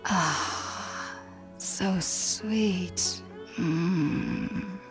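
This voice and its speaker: A woman voicing a weakened, gentle young woman in a video game, breathy, hesitant and polite. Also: fairly low pitch, extremely slow, over loud music. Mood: dazed. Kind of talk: video game character acting.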